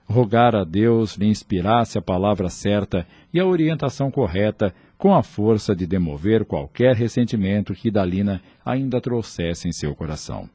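A man narrating a scripted radio drama in a measured, formal manner. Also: on the low side, lively intonation, mellow, husky, dry recording.

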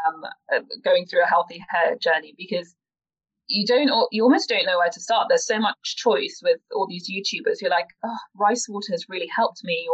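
A woman's voice: on the low side, highs cut off. A woman talking casually as a guest in a podcast interview. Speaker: interview guest, company founder.

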